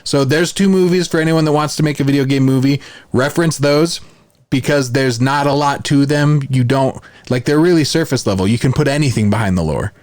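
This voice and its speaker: A man's voice: mid-range voice, fairly quick, darkish tone. A man hosting a podcast, chatting casually and giving his opinions in a conversational way. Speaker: podcast host. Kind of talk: casual podcast chat about films.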